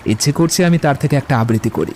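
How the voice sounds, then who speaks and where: An actor playing a witty, poetry-loving young romantic suitor in an audio drama, with eloquent, theatrical delivery. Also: male voice, medium pitch, fast, a little mellow, slight background noise, natural voice. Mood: playful, persuasive. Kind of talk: Bengali audio drama acting.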